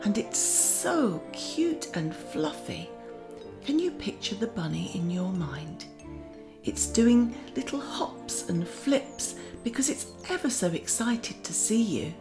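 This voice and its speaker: A woman playing a gentle, kindly magical garden auntie who leads a children's guided meditation in a soothing, often near-whispered voice. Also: medium pitch, very animated, slow. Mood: tender, wondering. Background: music.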